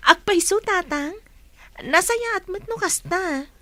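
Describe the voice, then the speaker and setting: A woman's voice: very high voice, animated, measured pace, sharp tone, dry recording, heavily acted. A woman playing a friendly, encouraging young female student in an Ilocano radio drama. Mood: friendly, eager.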